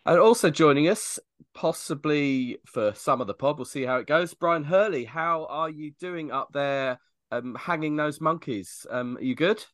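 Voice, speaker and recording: A man hosting a casual sports podcast, chatty and quick to laugh as he steers the conversation. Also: a little high, a bit bright, no echo, studio quality. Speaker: podcast host.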